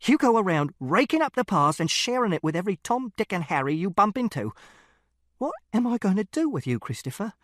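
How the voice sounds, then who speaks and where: A volatile middle-aged father, low and slow in his menace, who turns swearing and loud when his temper goes, voiced by a man in an audiobook reading. His voice swings widely in pitch. The sound is dry and close. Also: big pitch swings, no echo, close to an everyday voice. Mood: furious. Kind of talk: audiobook character voice.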